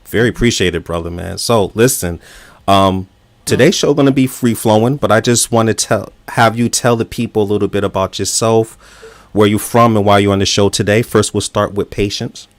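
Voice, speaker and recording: A man's voice: lowish voice, normal speed, dry recording. A man hosting a relationship talk show, earnest and encouraging, using filler words as he talks.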